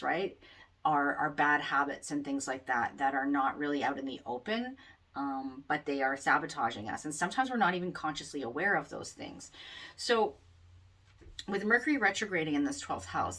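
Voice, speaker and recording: A woman astrologer giving a casual forecast, rambling with many fillers, in a loud voice picked up by the camera mic. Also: low voice. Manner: rambling, many ums and you-knows. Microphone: camera mic, microphone not plugged in. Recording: distant sound from unplugged mic.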